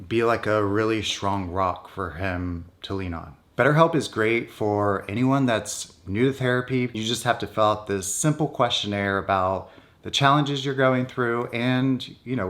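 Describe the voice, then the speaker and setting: A man's voice: on the low side, a bit bright. A North American man vlogging in a casual, candid and conversational way about his own life. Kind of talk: casual personal vlog talk.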